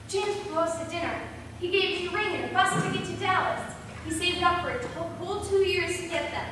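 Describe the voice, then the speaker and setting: Captured from a distance in a very reverberant hall, a woman acts a female character in an amateur stage play. The delivery is theatrical and very high in pitch, with some noise on the recording. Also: brisk. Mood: wistful. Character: teenage girl reading a diary aloud, and her stern, wounded aunt. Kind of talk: amateur one-act stage play acting.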